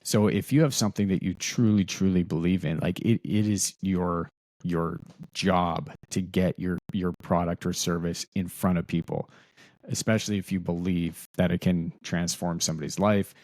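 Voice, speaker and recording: A man's voice: low voice, fairly even intonation, normal speed, darkish tone, no room sound, studio quality. A man hosting a podcast, talking in a rambling way and often repeating words as he thinks aloud. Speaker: podcast host. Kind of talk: casual interview talk.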